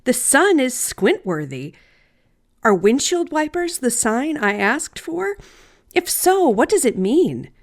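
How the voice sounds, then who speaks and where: A woman storyteller reading a personal story aloud in a deliberate, theatrical, wryly humorous way. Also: high voice. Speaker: writer and storyteller.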